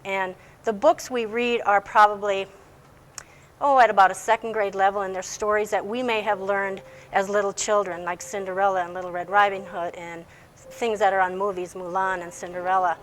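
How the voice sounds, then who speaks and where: A woman's voice: mid-range voice, a bit bright, poor audio. Woman, schoolteacher in an interview. Explanatory, plain-spoken delivery, slight background noise. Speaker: English language teacher.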